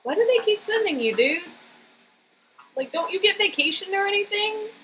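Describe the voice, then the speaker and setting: A woman's voice: extremely high pitch, lively intonation, moderate pace, a bit bright, poor audio. A woman acting out a spirited, protective young female captive in a casual, emotive way, with noise behind her. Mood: concerned, cheeky.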